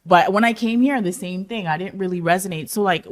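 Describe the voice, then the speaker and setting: A woman's voice: medium pitch, fairly quick. A woman comedian born in India, telling stories in casual podcast chat and acting out the voices of people she quotes. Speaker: comedian guest.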